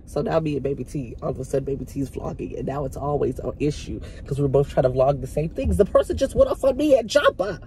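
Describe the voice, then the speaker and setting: A man's voice: on the high side, lively intonation, quick pace, hardly any pauses. A young man bantering and teasing from the car seat, laughing between jokes. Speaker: friend in the car. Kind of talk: casual banter. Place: car interior. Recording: noisy car interior.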